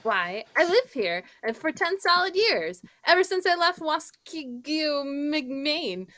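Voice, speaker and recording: A woman's voice: high voice, animated, slow pace, pushed and bright, heavily acted. A woman playing a flustered, defensive female murder suspect in an old-time radio mystery, with an indignant, exaggerated period delivery. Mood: airy, evasive.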